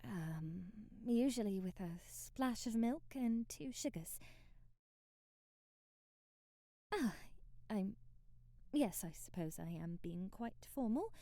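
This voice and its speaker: Woman playing a timid, soft-spoken young maid, slow and hesitant. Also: medium pitch, dry recording, clean studio sound. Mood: shy, nervous. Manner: hesitant, stammering, formal and deferential, breathy nervous laughs. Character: timid, devoted young maid, formal and easily flustered. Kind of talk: ASMR roleplay, period-drama speech.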